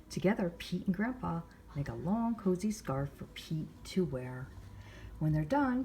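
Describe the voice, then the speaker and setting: A woman hosting storytime, reading a picture book aloud to young children with measured, expressive phrasing. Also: fairly low pitch, slight background noise. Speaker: library storytime host.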